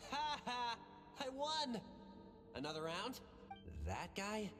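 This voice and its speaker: A man's voice: very high voice, big pitch swings, slow, full character voice. A man playing a boastful young playmate in a video game, cocky and dismissive. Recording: reverberant, clear game music behind.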